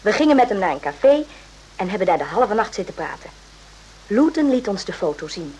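Teasing, lively secretary played by a woman. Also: mid-range voice, fairly quick, lightly acted, rough recording. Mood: recounting. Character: teasing, spirited secretary and companion of an officer. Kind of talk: conversational radio drama acting. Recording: hissy old radio recording.

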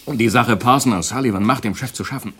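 A man's voice: medium pitch, quick pace, fairly bright tone, husky. An actor playing a cheerful, naive young man who laughs and hums, in a German radio play from the 1980s.

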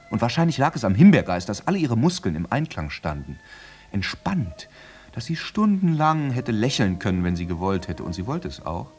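An actor playing a jealous, tormented soldier in a radio play monologue, theatrical and shifting between murmurs and outbursts. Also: male voice, lowish voice, gravelly, darkish tone, breathy edge, heavily acted. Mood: pained, furious. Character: jealous, tormented soldier brooding over his unfaithful lover.

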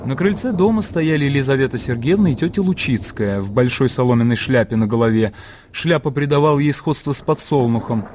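A man's voice: mid-range voice, animated, fairly quick, darkish tone, a little hiss or hum. A man narrating a literary radio drama in a dramatic storytelling style.

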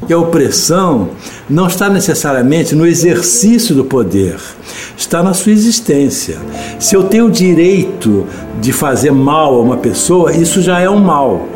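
A man's voice: on the high side, very animated, normal speed, darkish tone. A man with a Brazilian accent explaining ideas reflectively, repeating phrases for emphasis. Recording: guitar music behind the voice. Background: guitar music.

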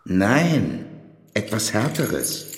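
A man's voice: fairly low pitch, big pitch swings, drawn-out words, vocal fry, roomy sound, long reverb tail, heavily acted. An actor playing a harsh male prison guard who barks curt orders in a radio play. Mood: barking orders.

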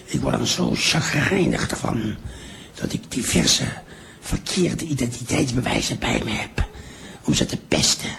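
A man's voice: medium pitch, sing-song, unhurried, vocal fry, fairly bright tone, slight background noise, exaggerated voice. An actor playing a mischievous elderly father who rambles, repeats himself and hesitates, in an improvised radio drama. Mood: indignant, gleeful.

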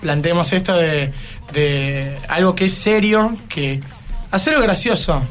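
A teenage male student, answering casually and haltingly in a radio interview. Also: fairly high pitch, a bit bright, faint music behind. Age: teens. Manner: halting, repeats himself. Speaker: student guest.

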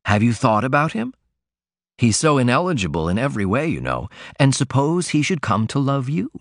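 A man voicing a calm, sympathetic middle-aged mother who gently guides her daughter in an audiobook reading. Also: mid-range voice, moderate pace, no room sound, studio quality, pitched above the performer's own voice. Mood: gentle guiding.

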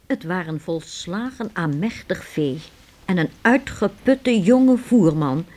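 A woman narrating a radio play in a measured, scripted storytelling style. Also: sits low, darkish tone.

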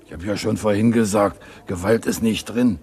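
An actor playing a cocky small-time burglar in a radio crime drama, talking in cheeky streetwise slang. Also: male voice, lowish voice, steady tone, moderate pace, a little mellow, slightly rough.

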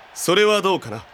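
A man's voice: high-pitched, slight background noise, heavily acted. An actor playing a cocky, aggressive teenage boy soccer captain in a cartoon, taunting and boastful. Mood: smug. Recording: loud music behind the voice.

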